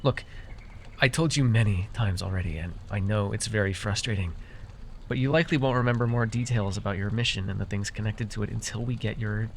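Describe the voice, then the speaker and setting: A man's voice: on the low side, slight background noise. A man playing an easily flustered, teasing but caring fae forest guide in a fantasy roleplay, with exasperated banter. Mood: calm, caring. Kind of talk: immersive fantasy roleplay ASMR acting.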